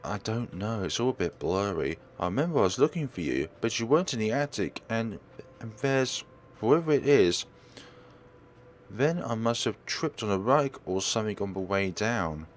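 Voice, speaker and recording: Man playing plucky, stubborn young woman, swooping pitch. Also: expressive, moderate pace, slight background noise, lightly acted. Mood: dazed. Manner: brushes off concern, eager. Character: Isabel, stubborn, plucky young female real estate agent.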